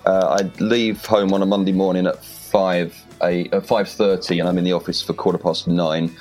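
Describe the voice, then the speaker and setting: A man's voice: sits low, little pitch movement, normal speed, a little mellow, soft background music. A man with a Welsh accent, a podcast guest giving direct, confident advice on interviewing. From Wales. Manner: direct, confident, plain-spoken. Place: home in rural Wales.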